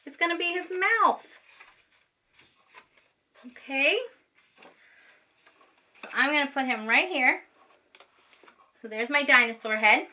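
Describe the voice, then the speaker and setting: A woman's voice: high-pitched, animated, takes it slowly, pauses often, sharp tone. A woman teacher giving step-by-step craft instructions to children in an informal, patient way, often checking in as she goes. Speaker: special education teacher. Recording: distant microphone.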